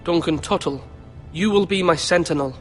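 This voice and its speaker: An actor playing a young lord who tries to rule firmly, in a dramatic fantasy video game. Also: male voice, a little high, normal speed, soft background music, close to an everyday voice. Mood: resolute.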